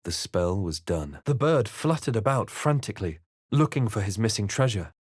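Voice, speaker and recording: Brief: resolute RPG hero, lowish voice, measured dramatic delivery, brooding game narration, very dry studio sound. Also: male voice, sing-song, slightly rough, no echo. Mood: grave narrating. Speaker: video game voice actor. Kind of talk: scripted video game character lines and narration.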